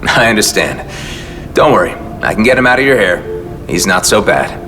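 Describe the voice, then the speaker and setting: An actor playing a terse, determined space pilot in a video game, talking in a blunt, matter-of-fact way. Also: male voice, fairly low pitch, big pitch swings, normal speed. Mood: cool, agreeable. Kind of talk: video game cutscene acting. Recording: long reverb with loud music behind. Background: music.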